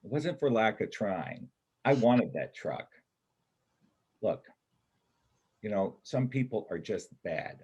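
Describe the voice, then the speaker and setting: A man performing a reader's theater part as a gruff, cynical bank robber and combat veteran, blunt and colloquial in his talk. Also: fairly low pitch, very animated, takes it slowly, long pauses, darkish tone, highs cut off, exaggerated voice. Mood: wry, guarded. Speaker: amateur church play performer.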